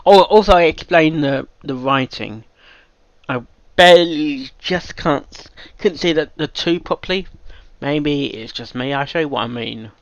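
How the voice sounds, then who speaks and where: A man hosting a reaction video, giving casual off-the-cuff commentary in a halting, rambling way and often repeating himself. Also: on the high side, dry recording, lo-fi sound.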